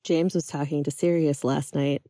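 A woman voicing an excitable, affectionate, chatty friend in a fiction reading, animated and emphatic. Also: low-pitched, moderate pace, no echo, studio quality, voice pushed down. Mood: hushed, confiding. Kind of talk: acted dialogue in a fiction reading.

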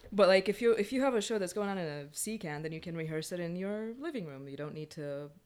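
Woman, very low pitch, frank, conversational, frequent restarts, panel discussion. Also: expressive, brisk, darkish tone, smooth. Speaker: playwright on a panel.

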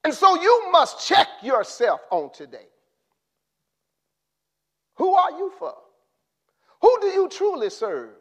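A man preaching a sermon in an impassioned way, rising to shouts and then dropping low. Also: wildly swooping pitch, slow pace, pauses often.

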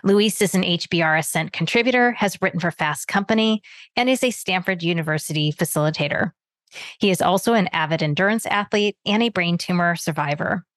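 A woman's voice: on the low side, fairly bright tone, no room sound. A woman hosting an interview podcast, moving between prepared introductions and conversational questions.

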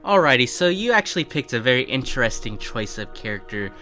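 A man with a bright, forceful voice works as a tabletop game master. He frames the fantasy world and puts questions to a player, with music running behind him. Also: medium pitch, big pitch swings, a little fast. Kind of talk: scripted intro narration and character interview. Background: background music.

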